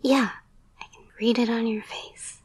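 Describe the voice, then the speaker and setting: Affectionate girlfriend caretaker, female performer for intimate roleplay audio: unhurried, gentle, playful, hushed and breathy, murmured close. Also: fairly high pitch, steady tone. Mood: teasing, affectionate. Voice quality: soft, breathy whisper.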